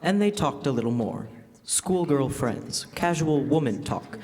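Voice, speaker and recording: A man's voice: a little high, lively intonation, a little slow, a little mellow, soft background music. Male radio-play narrator in echoing hall. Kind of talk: scripted storytelling in classic radio drama style. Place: on stage in a school hall. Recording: echoey hall, live stage performance.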